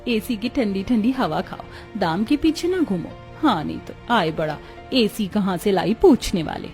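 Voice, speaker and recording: A woman voicing a demanding, boastful young sister-in-law in an animated family story. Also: medium pitch, moderate pace, faint music behind, natural voice. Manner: insistent, haughty.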